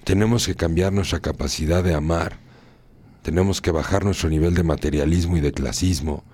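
A man's voice: low-pitched, steady tone, brisk, a little mellow, husky. A man hosting a radio talk show, moralizing and repeating phrases for emphasis. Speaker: radio host.

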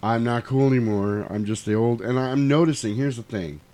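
A man's voice: medium pitch, a little fast. Guy in his 40s chatting on a podcast, mid voice, loose and sweary, tells stories animatedly. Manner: informal, swearing, animated storytelling with acted quotes. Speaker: podcast host. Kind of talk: casual podcast chat.

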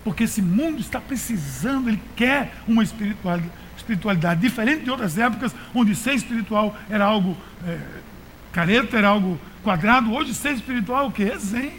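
A man's voice: high-pitched, expressive, brisk, a bit bright, slight background noise. A man with a Brazilian accent preaching as a pastor in a colloquial, exhorting way, repeating phrases for emphasis. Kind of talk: conversational sermon to a congregation.

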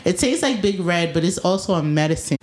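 A woman's voice: fairly low pitch, animated, brisk, a little mellow, reverberant, a little hiss or hum. A woman hosting a podcast who is congested from a cold. She chats casually, laughs often and talks in slang. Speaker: podcast host.

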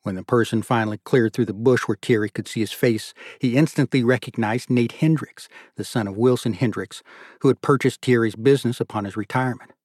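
A man narrating literary fiction from a script in a measured, deliberate storytelling manner. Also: medium pitch, steady tone, darkish tone, husky, no echo, studio quality. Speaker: audiobook narrator.

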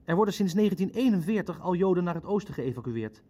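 A precise, sceptical official at a wartime conference in a radio play. His voice is a touch higher than average. He speaks formally and with measure, placing his points pointedly. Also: expressive, fast, warm tone. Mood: measured, critical. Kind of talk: Dutch audio drama acting.

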